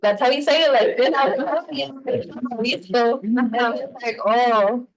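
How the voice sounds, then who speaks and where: A woman's voice: on the high side, normal speed, crowd noise. Chatty young woman with a Spanish accent. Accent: Mexican Spanish. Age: young adult. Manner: chatty, heavy use of "like", switches into Spanish. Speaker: podcast co-host. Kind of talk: casual conversation.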